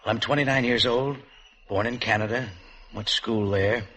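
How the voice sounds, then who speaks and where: An actor in a 1950s radio drama playing a flirtatious bachelor in his late twenties, gentle and teasing. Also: medium pitch, fairly even intonation, normal speed, lightly acted. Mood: ardent. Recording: noisy 1950s radio recording.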